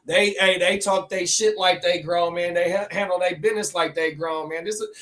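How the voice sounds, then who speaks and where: A man in his forties hosts a podcast, throwing in profane shout-outs and hype-man asides. His voice sits quite high for a man. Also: steady tone, normal speed. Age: 40s. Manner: hype-man interjections, repeats "oh, my mama". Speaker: podcast host. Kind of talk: casual profane banter.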